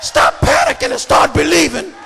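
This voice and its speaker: Male preacher, very high-pitched shouted delivery, repetitive and emphatic, big reverberant hall. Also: sing-song, moderate pace, creaky, soft background music, some chatter behind. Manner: emphatic, repetitive, cadenced delivery building on repeated phrases. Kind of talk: fervent shouted sermon. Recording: echoey hall reverb with congregation noise.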